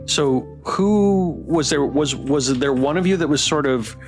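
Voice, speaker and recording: A man hosting a casual livestream interview, asking questions in a halting, searching way. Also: a little high. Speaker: streamer and interviewer. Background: guitar music.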